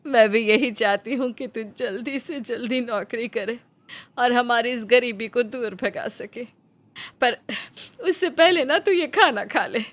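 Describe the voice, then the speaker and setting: A woman puts on a caring mother in a cartoon family tale. She speaks tenderly and insistently to her grown child, with worry and devotion, her pitch a little raised. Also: measured pace, a bit bright. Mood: tender, coaxing. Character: caring, worried poor widowed mother to grown son. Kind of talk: dramatized cartoon storybook dialogue.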